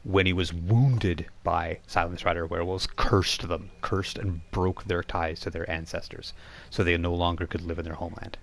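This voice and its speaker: A man with a very low, creaky voice runs a tabletop roleplaying session. He talks casually and haltingly, describing things to his players as game master. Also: steady tone, fairly bright tone.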